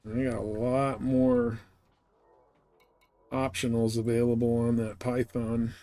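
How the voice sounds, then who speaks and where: A man's voice: medium pitch, flat delivery, takes it slowly, warm tone. Man casually rambling on a game stream. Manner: rambling, thinking aloud while playing. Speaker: video game livestreamer. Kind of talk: casual gaming stream chat.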